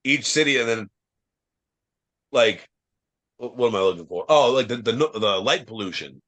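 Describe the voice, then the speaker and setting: A young man from Ohio talks at a mid pitch, with a loud voice. He tells rambling travel stories, full of swearing and "like". It is a casual podcast chat. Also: animated, normal speed. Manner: loose, profane storytelling, lots of fillers; says he has a loud voice. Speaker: guest, professional wrestler.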